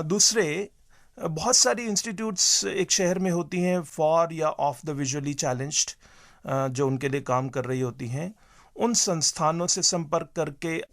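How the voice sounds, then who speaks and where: A man giving measured, halting explanations as an interview guest, mixing in English terms. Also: fairly high pitch, gravelly, a bit bright.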